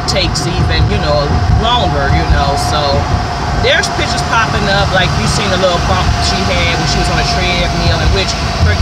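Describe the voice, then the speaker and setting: A middle-aged woman chats away from the driver's seat in a casual gossip vlog, scattering "you know" through every sentence. She is recorded on a phone set a little away from her. Heavy road and street noise runs underneath. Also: on the low side. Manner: chatty, leans heavily on "you know" fillers. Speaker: vlog host. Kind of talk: casual celebrity gossip chat. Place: inside a car. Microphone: phone camera mounted at the front of the car. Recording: loud road noise, slightly distant mic.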